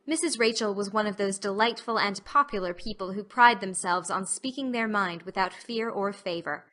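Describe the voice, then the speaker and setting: A woman narrating a literary audiobook as an expressive storyteller. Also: medium pitch, moderate pace.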